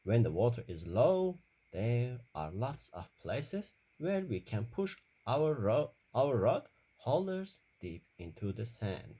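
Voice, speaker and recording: A man reading a children's story aloud in careful, deliberate short phrases. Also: mid-range voice, big pitch swings, dark tone, slightly rough.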